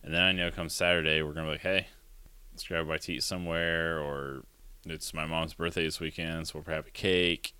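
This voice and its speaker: A man in his thirties with a deep voice, hosting a casual podcast chat in a rambling, chatty way. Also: little pitch movement, fairly quick, sharp tone, smooth, no echo. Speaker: podcast host.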